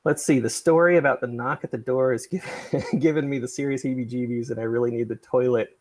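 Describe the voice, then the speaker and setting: A middle-aged man with a gravelly, husky voice hosting a casual livestream chat in a rambling, self-effacing way. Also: medium pitch, steady tone, fast talker, darkish tone. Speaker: podcast creator and livestream host.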